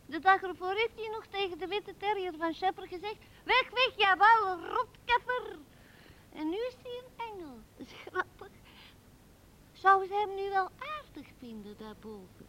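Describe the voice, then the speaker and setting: Very high, bright little girl. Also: lively intonation, moderate pace, exaggerated voice, rough recording. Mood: curious, animated. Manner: childlike, eager, questioning. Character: small curious, chattering girl, naive and earnest. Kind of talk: radio play acting.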